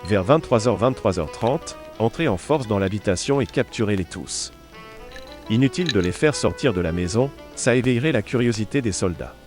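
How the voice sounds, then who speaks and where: A man plays a shrewd, cold-blooded wartime barkeeper in a low voice. He talks like a Resistance plotter in a radio play, with music clearly behind him. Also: lively intonation, moderate pace, darkish tone, lightly acted. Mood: cold, decisive. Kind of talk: wartime audio drama dialogue.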